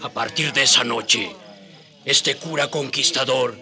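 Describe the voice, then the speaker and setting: A man's voice: mid-range voice, a little slow, gravelly, pushed and bright, full character voice. A man playing the solemn storyteller of a historical radio drama, with scripted, dramatic narration. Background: music.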